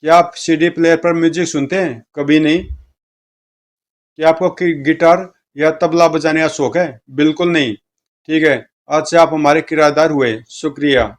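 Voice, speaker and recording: Guy reading out comic strips, does all the dialogue himself, voice a bit on the high side. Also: fairly even intonation, moderate pace. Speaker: comic book reader, narrator.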